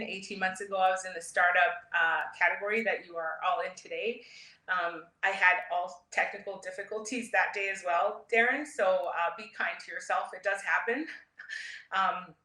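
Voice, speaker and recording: A woman's voice: mid-range voice, pushed and bright. A woman from Canada, born in El Salvador, giving an informal keynote with personal stories in a candid, chatty manner. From grew up in Edmonton, Canada; born in El Salvador. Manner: candid, chatty, often chuckling. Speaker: keynote speaker, startup founder. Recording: roomy, echoing sound.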